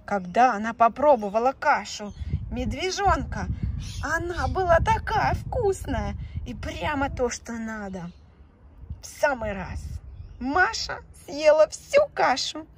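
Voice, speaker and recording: Woman storyteller for small children, high bright voice, slow, very expressive. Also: slight background noise. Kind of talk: children's story narration with paper puppets. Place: indoors, tabletop on green felt.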